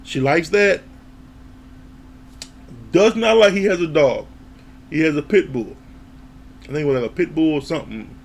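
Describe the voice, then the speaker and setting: A man giving a casual, animated recap of a reality TV episode, laughing, swearing, and acting out what people said. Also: on the high side, normal speed, gravelly, pauses often, a little hiss or hum. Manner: animated, laughs, acts out quoted lines, swears. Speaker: reality TV reviewer.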